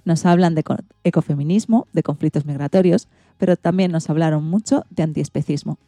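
A woman's voice: sits low, a little fast, dark tone, dry recording, studio quality. A woman with a Spanish accent from Spain, hosting a radio program in a scripted presenting style.

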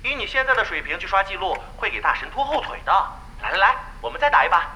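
Self-important gaming know-it-all, male actor, audio drama, lecturing, very animated delivery. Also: sits high, quick pace, a little hiss or hum, exaggerated voice, poor audio. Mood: preachy. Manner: preachy, pompous. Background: music.

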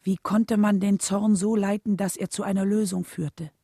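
A mellow, husky, solemn wife. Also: female voice, medium pitch, steady tone, moderate pace, no room sound, clean studio sound. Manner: solemn, restrained, clearly phrased storytelling. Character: composed, grieving wife of a civil rights leader. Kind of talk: scripted radio drama narration and dialogue.

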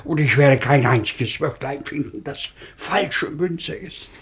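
A man acting a fussy, self-important older tutor-cleric in a radio play, speaking in halting, sententious phrases. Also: on the high side, animated, a bit bright, slightly rough, exaggerated voice. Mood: pompous indignation.

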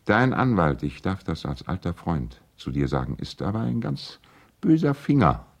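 A gentlemanly lawyer and concerned old friend in a vintage radio play. He has a low, deep voice and delivers his letters in an earnest, personal, pleading way. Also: very animated, moderate pace, gravelly, heavily acted. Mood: wry, confiding. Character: courteous, worried male lawyer and loyal family friend. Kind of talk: 1970s German radio play, letters read aloud.

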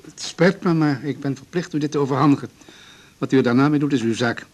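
A formal male notary in a radio play, played by an actor, with a medium-pitched voice. He is polite and patient, choosing measured, businesslike words and keeping an official courtesy. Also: a little mellow, lo-fi sound, natural voice. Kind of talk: naturalistic 1980s radio drama acting.

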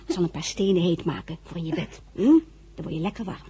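A woman's voice: fairly low pitch, fairly even intonation, quick pace, dark tone, a little hiss or hum, natural voice. An actress playing a motherly older servant in a radio drama, fussing, soothing and repeating herself. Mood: reassuring. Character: motherly, fussing older female servant, protective and worldly-wise.

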